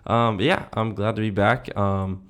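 A man's voice: fairly low pitch, fairly even intonation, unhurried, a bit bright, clear, resonant tone. A young American man co-hosting a podcast, chatting casually in a laid-back, slangy way. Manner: laid-back, slangy talk peppered with dude and bro. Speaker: podcast co-host. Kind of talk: casual chat, personal anecdotes.

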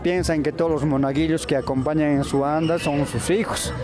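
A man's voice: fairly high pitch, steady tone, a little fast. A man giving casual, conversational testimony in an interview. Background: music.

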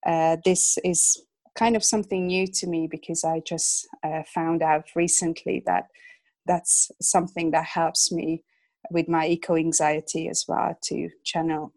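A Hungarian-born woman in her thirties is talking in English as a guest on a podcast. Her voice sits on the low side and is recorded dry and close. She talks with a lot of hesitant fillers and small laughs. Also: level tone, measured pace, darkish tone, no echo. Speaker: illustrator guest. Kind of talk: casual interview chat.

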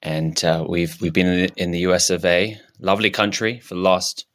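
A man's voice: deep voice, steady tone, moderate pace, a bit bright. A man improvising a shy male politician who has no opinions, talking in hesitant, stammering restarts. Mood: evasive. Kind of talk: improvised comedy character.

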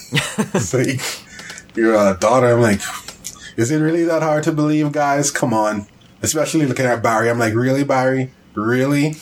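A man's voice: mid-range voice, normal speed, a little hiss or hum. A man co-hosting a casual podcast, joking and acting out mock quotes as he talks. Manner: animated, jokey, acts out mock quotes. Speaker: podcast co-host. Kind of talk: casual chat.

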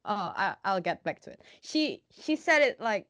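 A woman's voice: medium pitch, big pitch swings, normal speed, a bit bright, dry recording. A young woman from Indonesia chatting casually in English, encouraging and repeating words as she searches for them. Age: young adult. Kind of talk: casual chat.

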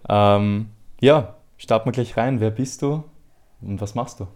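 Low-voiced man hosting a podcast. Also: a little slow, a little mellow. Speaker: podcast host, interviewer. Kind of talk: conversational podcast introduction and interview questions.

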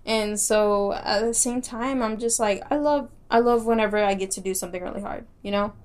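A woman's voice: medium pitch, fairly quick, darkish tone. A young woman with a light Texas accent, rambling through a casual solo podcast with frequent giggles, fillers and restarts. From Texas, United States. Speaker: podcast host.

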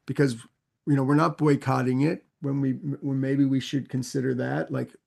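A man's voice: mid-range voice, little pitch movement, moderate pace, creaky, darkish tone. A man co-hosting a podcast in casual chat, hesitant and questioning, full of fillers and restarts. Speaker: podcast co-host.

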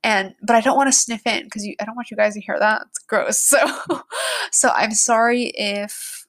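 A woman's voice: on the high side, fairly even intonation, normal speed, fairly bright tone. Chatty congested young woman host. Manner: chatty, heavy use of "like", many restarts. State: congested, stuffy nose. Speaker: podcast host. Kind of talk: casual podcast chat.

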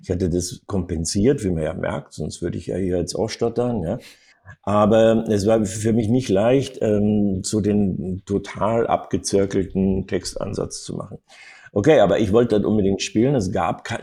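An older man telling anecdotes as an interview guest, in an animated storytelling manner with frequent little tag words. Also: lowish voice. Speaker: acting coach and interview guest.

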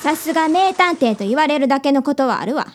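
A woman's voice: high-pitched, lively intonation, fast talker, noisy background. A woman playing a cheeky, precocious young schoolgirl in a radio drama, bossy and haughty. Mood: cool challenge. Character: cheeky, precocious little schoolgirl who challenges detectives.

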